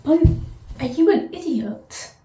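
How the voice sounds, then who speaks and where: A woman's voice: sits high, expressive, slow, mellow. A woman with a southern English accent, chatting casually to camera from a bathtub, rambling through asides and cutting herself off mid-thought. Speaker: vlogger. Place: sitting in a bath, bathroom. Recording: echoey bathroom.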